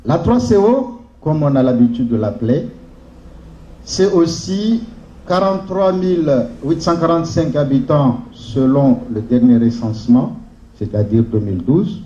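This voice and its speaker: Man, local official at a podium address. Very dark tone, deliberate formal phrasing, dull roomy sound. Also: fairly high pitch, expressive. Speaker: local intercommunal official giving a welcome speech. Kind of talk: formal speech at a conference. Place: large room at a public conference. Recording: dull, echoey conference hall PA sound.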